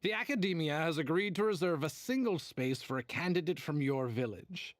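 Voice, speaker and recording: A man's voice: fairly high pitch, lively intonation, normal speed, no echo, studio quality, close to an everyday voice. A man playing a stern, skeptical official. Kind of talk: game voice acting.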